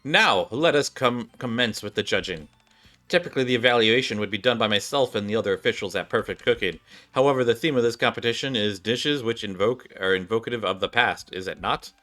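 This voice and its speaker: A man's voice: on the low side, a little fast, a bit bright, natural voice. Male reader as a pompous competition judge, formal and drawn out, game dialogue over faint music. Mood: formal, emphatic. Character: formal, grandiose cooking contest announcer.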